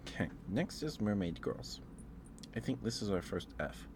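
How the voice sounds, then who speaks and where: A man's voice: lowish voice, animated, moderate pace, background noise. A man livestreaming ASMR, chatting casually and cooing affectionately to viewers, often dropping into a whisper close to the mic.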